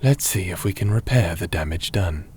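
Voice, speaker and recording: A man playing a gentle, cautious fantasy companion in an ASMR roleplay, speaking in a measured, soothing, slightly formal way. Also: sits low, animated, a little hiss or hum. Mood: resolved, gentle. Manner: measured, soothing, slightly formal, sometimes breathy near-whisper. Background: music.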